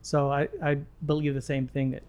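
A man's voice: mid-range voice, level tone, normal speed, darkish tone, smooth. A man in his sixties from Lebanon, chatting casually as a guest with friendly, rambling talk and many restarts. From Middle East, Lebanon. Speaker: gym client and guest. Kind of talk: casual chat.

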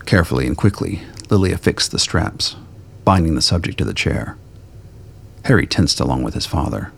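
A man's voice: rumbling low, very animated, moderate pace, creaky, husky. A man narrating a science fiction radio play in a scripted, dramatic storytelling style.

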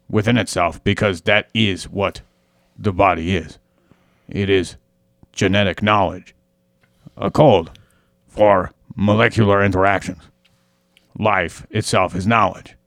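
A man's voice: lowish voice, fairly bright tone, no echo, clean studio sound. A man hosting a casual podcast, explaining philosophy ideas and joking with friends. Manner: joking, then explaining at length. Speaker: podcast host.

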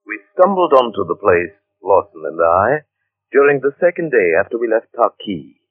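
A sensible gentleman adventurer in a 1940s radio play. His voice is husky and swings expressively as he narrates and questions his friend. The recording is old, narrow and muffled. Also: on the low side, very animated, moderate pace. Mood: wondering, reflective. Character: matter-of-fact Englishman narrating an African adventure, puzzled friend. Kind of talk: 1940s radio drama acting.

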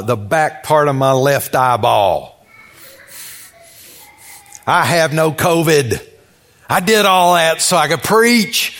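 American guy preaching, voice a bit on the high side, folksy storyteller type, repeats stuff and asks rhetorical questions. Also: a bit bright. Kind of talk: sermon.

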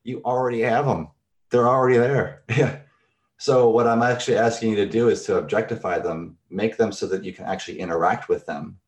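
A man's voice: on the low side, fairly even intonation, fairly quick. A middle-aged man, a therapist, explaining concepts in a thoughtful, conversational way with everyday examples.

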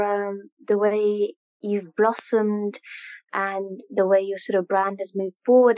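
A woman's voice: medium pitch, dry recording, rough recording. Polished woman host, dry close sound. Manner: polished, formal, reads from a script. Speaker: podcast host. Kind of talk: read introduction, then interview questions.

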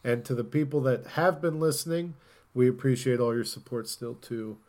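A man's voice: mid-range voice, normal speed, a little mellow. A man co-hosting a podcast, chatting casually about gaming news in an informal, rambling way. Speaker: podcast host.

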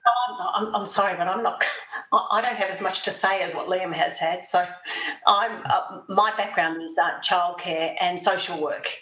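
A woman's voice: on the low side, normal speed, bright, forceful tone, echoey room, rough recording. A woman as a podcast guest, speaking shyly and hesitantly and stumbling over her words. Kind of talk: conversational interview.

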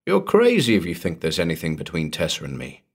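A man voicing an irritable, alarmed carnival tough with a forceful, demanding delivery in a dramatic story. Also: low voice, huge pitch swings, brisk, breathy edge, heavily acted. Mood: vehement denial. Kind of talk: dramatic audiobook character reading.

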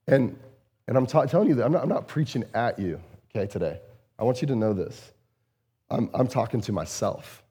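A man, a lead pastor, preaching in an earnest, conversational way, often repeating words and using fillers, his voice a bit strained and hoarse. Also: mid-range voice, lively intonation, fairly quick, mellow. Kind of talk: conversational sermon.

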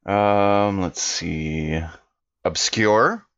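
Deep voiced guy playing a tabletop rpg, measured and casual, muffled lo-fi mic. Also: a bit bright. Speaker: tabletop game player. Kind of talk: casual in-character roleplay. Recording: dull, lo-fi microphone sound.

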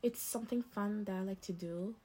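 A woman's voice: mid-range voice, brisk, dark tone, husky. Hesitant teenage girl from Lebanon. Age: teens. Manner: hesitant, many fillers and restarts. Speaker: interviewee, high school student. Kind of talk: casual interview answers.

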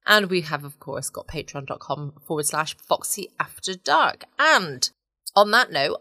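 English woman, deep for a female voice, chatty, relaxed, late-night podcast host, dry home recording. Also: no room sound. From England. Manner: chatty, relaxed, gently self-mocking. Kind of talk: casual late-night bedtime chat.

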